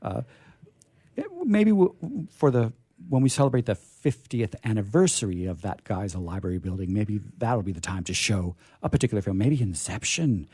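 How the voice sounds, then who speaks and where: A man's voice: on the low side, very animated, normal speed, warm tone, husky, dry recording, studio quality. A middle-aged or older man hosting a radio show, chatting casually and enthusiastically in a rambling, self-deprecating way. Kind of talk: casual unscripted event chat.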